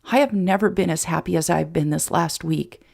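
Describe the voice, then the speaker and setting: A woman's voice: lowish voice, normal speed, vocal fry, a little mellow. A woman voicing a tender, emotional, playful woman in love in an audiobook romance, with heartfelt sincerity.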